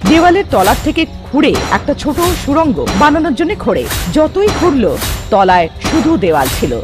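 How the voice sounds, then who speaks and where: A man's voice: extremely high pitch, sing-song, brisk. A man narrating a scripted cartoon story in a dramatic storytelling manner. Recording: long reverb, music underneath.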